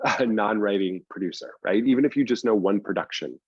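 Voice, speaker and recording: A man's voice: on the low side, animated, a little fast, a little mellow, husky, no echo, highs cut off. A man speaking casually as an interview guest, explaining things in loose runs with repeats for emphasis. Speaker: writer and producer, interview guest.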